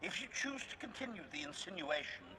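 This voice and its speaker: An actor voicing a haughty, threatening assassin droid in a game, with formal pedantic speech and a robotic filter. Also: male voice, high-pitched, sing-song, fairly quick, bright, forceful tone, exaggerated voice. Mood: haughty menace. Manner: announces each utterance type, formal wording. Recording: hall reverb, background noise.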